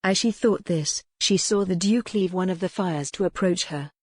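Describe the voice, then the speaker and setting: A woman's voice: fairly low pitch, moderate pace, husky, no echo. A woman narrating a scripted historical romance audiobook.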